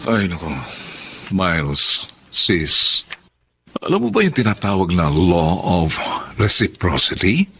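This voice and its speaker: A protective Filipino big brother in a drama, frank and preachy as he advises. His low voice moves in very lively swings, with faint noise behind it. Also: slow, pauses often, heavily acted. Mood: caring, advising. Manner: admonishing, explains by examples and rhetorical questions. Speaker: actor in a drama. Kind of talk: conversational Filipino drama acting with English phrases.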